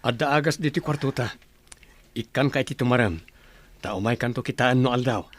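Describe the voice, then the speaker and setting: A man's voice: mid-range voice, a little fast, lightly acted. A man with an Ilocano accent playing a helpful, brotherly villager in a radio drama. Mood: caring.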